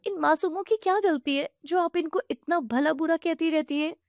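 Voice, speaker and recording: Cartoon role: a woman doing a wronged young mother, soft and pleading, high voice. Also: normal speed, no echo, clean studio sound. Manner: pleading, earnest.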